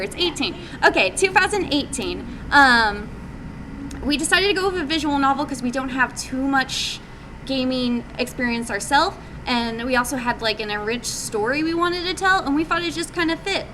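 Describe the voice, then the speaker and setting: Woman, high-pitched voice, hesitant casual presenter, live meetup recording, faint background noise. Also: animated, normal speed. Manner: hesitant, conversational, casual phrasing. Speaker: indie game developer presenting at a meetup. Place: live group meeting, presenting to attendees.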